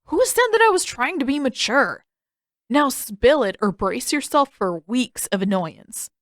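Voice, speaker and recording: A woman's voice: high voice, big pitch swings, measured pace, a bit bright, no room sound, studio quality, voice pushed up, exaggerated voice. A woman voicing a stubborn, sarcastic teenage boy in an audiobook, emphatic and mocking. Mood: defiant.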